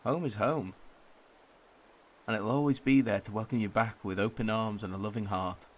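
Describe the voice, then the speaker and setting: Low-voiced wistful young man actor's monologue. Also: fairly even intonation, moderate pace, natural voice. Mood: warmly nostalgic. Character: wistful, homesick twenty-two-year-old man visiting home. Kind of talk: reflective radio drama monologue.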